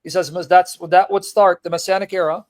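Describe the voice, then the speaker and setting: Blunt high-voiced man on a call-in stream. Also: steady tone, a little fast. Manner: blunt, teasing, rambling while fumbling with his setup. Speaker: live-stream host debating callers. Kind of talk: casual call-in debate.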